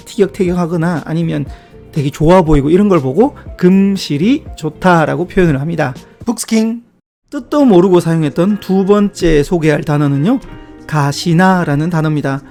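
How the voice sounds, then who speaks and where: A man's voice: high voice, mellow. A man hosting a casual video lesson on word origins, chatty and given to light self-deprecating asides. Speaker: book-review video host. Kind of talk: casual explanatory talk about word origins.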